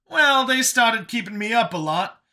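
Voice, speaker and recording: An earnest older man who escaped captivity, as acted by a male audiobook reader. His voice is pitched well up and very bright, with lively swings. He explains his hardships haltingly but with emphasis. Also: exaggerated voice. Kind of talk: audiobook character dialogue.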